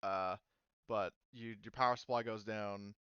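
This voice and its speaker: A man's voice: on the low side, steady tone, measured pace, clear, resonant tone, dry recording, lo-fi sound. A man running a tabletop game as game master, patiently walking players through the rules on a voice call.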